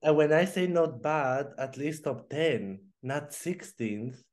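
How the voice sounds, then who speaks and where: Animated man chatting over a video call. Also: a little high. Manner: animated, quick bursts of speech with fillers and laughs. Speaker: co-host. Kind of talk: casual chat on a video call. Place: home room with plants.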